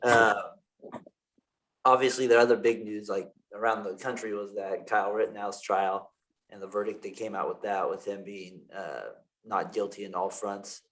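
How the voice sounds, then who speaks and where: A man hosts a sports podcast in a loose, rambling way, full of fillers and self-corrections. His voice sits low. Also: fairly even intonation, moderate pace, fairly bright tone, rough recording. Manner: rambling, many fillers and restarts. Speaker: podcast host. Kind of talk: casual sports podcast chat.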